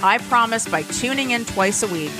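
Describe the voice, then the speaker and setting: A woman hosting her own podcast, talking frankly and with self-deprecating humor in an energetic solo monologue. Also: on the high side, moderate pace, music in the background. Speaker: podcast host.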